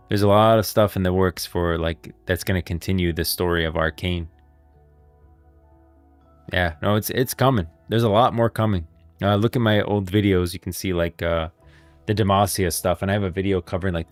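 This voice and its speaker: Deep voiced streamer guy, casual game lore reactions, repeats stuff for emphasis, dry mic, faint music. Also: no room sound. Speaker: livestreamer.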